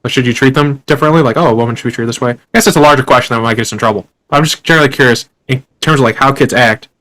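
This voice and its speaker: A man's voice: medium pitch, very fast, a bit bright. A young man hosting an interview, asking long, rambling questions with lots of fillers and restarts. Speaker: podcast host. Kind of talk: casual interview.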